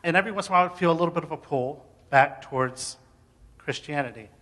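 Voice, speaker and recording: A man telling a personal story of faith to an audience in an informal, halting, earnest way. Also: on the high side, a bit bright. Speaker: speaker sharing faith story. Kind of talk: personal testimony told to an audience. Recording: hall reverb.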